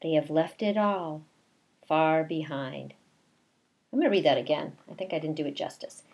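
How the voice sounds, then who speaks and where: Low-pitched woman reading a picture book. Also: vocal fry, muffled recording. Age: middle-aged. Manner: deliberate, expressive teacherly reading. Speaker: kindergarten teacher reading story time. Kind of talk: read-aloud picture book with asides to children. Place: small bedroom at home, indoors.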